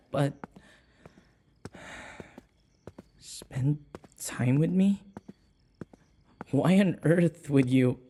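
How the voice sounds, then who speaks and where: A man's voice: a little high, warm tone, slight background noise, exaggerated voice. A man playing an anxious human servant held captive by a vampire mistress in an audio roleplay, hesitant and stammering. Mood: wary, questioning. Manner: hesitant, stammering, anxious, with sighs and muttered asides. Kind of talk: audio roleplay acting, spoken to the listener.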